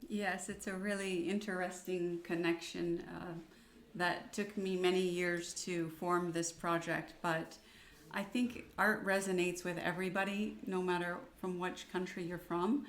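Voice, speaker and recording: A woman hosting a conversational interview, speaking in a measured, appreciative way. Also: lowish voice, flat delivery. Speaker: interviewer, volunteer project founder. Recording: roomy echo.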